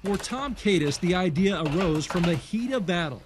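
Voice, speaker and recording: A man's voice: sits high, animated, moderate pace, background noise. A man reading scripted narration for a television news report.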